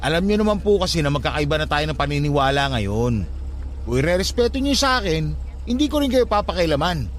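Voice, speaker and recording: Radio drama nephew: high voice, very animated, sarcastic and argumentative. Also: male voice, fairly quick. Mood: defiant, annoyed. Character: irritable, blunt skeptical nephew, a grown man. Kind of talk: Tagalog radio drama acting.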